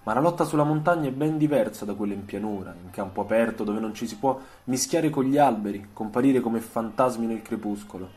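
A man's voice: lowish voice, brisk, few pauses, soft background music. A man narrating a historical story for radio in a literary, scripted storytelling style. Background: soft musical soundtrack.

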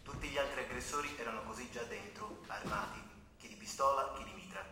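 A detached 1970s news reporter, played by an actor, reads formally. He is set far back in a very echoey space. Loud noise runs underneath. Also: mid-range voice, brisk, lightly acted.

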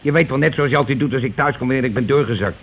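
An actor playing a coarse, cocksure scheming husband in a radio play, talking insistently and impatiently in colloquial speech. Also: male voice, mid-range voice, rapid-fire, natural voice. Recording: noisy old radio drama recording.

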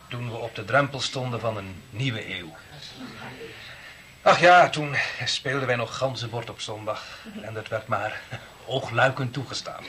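Solemn, bright-voiced elderly male speechmaker. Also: medium pitch. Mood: solemn, nostalgic. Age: older. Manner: solemn, declamatory, sentimental. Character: elderly eldest brother, solemn pious jubilee speechmaker. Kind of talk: 1960s radio drama, ceremonial speech.

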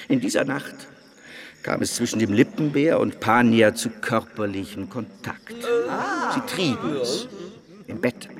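An actor playing a solemn, fervent preacher who tells a story as a sermon in a radio play, with emphatic pauses. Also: male voice, mid-range voice, a bit bright. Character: solemn, fervent male preacher telling a parable. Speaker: narrator and preacher.

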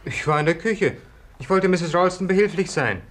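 A flighty young man in his twenties from an old radio mystery. His voice sits high and leaps around, effusive and easily wounded. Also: animated, normal speed, a bit bright. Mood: flighty, defensive. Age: 20s. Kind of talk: 1950s radio play acting.

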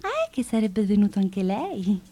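A woman's voice: mid-range voice, big pitch swings, measured pace, mellow. A woman playing Gina, a scheming woman who coaxes and reassures too sweetly, in a radio play. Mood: sly. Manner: coaxing, falsely reassuring. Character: scheming woman Gina, sly and overly solicitous. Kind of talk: radio drama acting.

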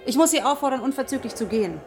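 A woman's voice: high-pitched, expressive, very fast, soft background music. A woman playing a flight school assistant in a radio drama, clipped, stern and suspicious. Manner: clipped, stern, matter-of-fact. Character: curt, suspicious female flight instructor's assistant.

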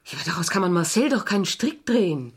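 A level-headed young woman lawyer in a vintage radio crime play. Her voice is slightly low and husky. She speaks in a matter-of-fact, sceptical way, ready with a retort. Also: big pitch swings, fast. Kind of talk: German radio crime drama acting.

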